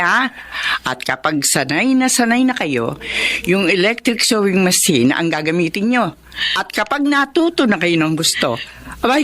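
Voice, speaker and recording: A woman's voice: medium pitch, natural voice. Kind middle-aged boss lady, acted by a woman, motherly, explains things patiently, mid voice. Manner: warm-hearted, motherly, instructive. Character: kind generous older businesswoman benefactor. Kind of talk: radio drama acting.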